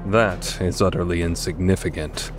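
A man's voice: low-pitched, steady tone, moderate pace, faint music behind, close to an everyday voice. A man voicing a proud, disdainful swordsman in a video game fan dub, speaking formally with haughty menace.

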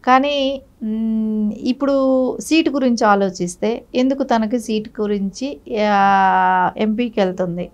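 A woman's voice: a little high, a little slow, pauses often. A middle-aged woman giving critical, argumentative political commentary in a studio interview, often posing rhetorical questions. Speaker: political party spokesperson, interview guest. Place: TV news studio, indoors.

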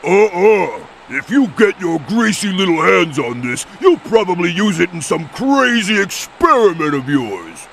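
A big dim bird henchman in a video game, loyal and excitable. The voice is high for a man and gravelly, with bumbling bluster over clear background noise. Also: measured pace, few pauses, full character voice, rough recording. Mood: scolding. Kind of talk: video game cutscene voice acting.

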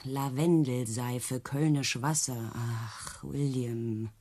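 An actress playing a mocking, discontented circus trick rider in a radio play, with a dry sardonic manner. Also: female voice, extremely low pitch, steady tone, slow, warm tone, a little hiss or hum. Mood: scornful, dry.

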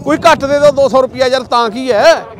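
An older man with a Punjabi accent, a goat seller bargaining loudly at an outdoor market, pushy and talkative. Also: very high-pitched, a little fast, crowd noise. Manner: loud, pushy seller's patter, calls people "shera". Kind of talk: casual bargaining chat.

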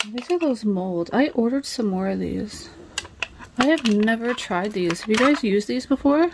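A woman's voice: fairly high pitch, expressive, moderate pace. Chatty woman vlogger thinking aloud, noisy recording. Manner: rambling, thinking aloud, self-questioning. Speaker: nail vlogger. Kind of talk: casual chatty vlog. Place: home nail studio room. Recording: clear background noise with clicks.

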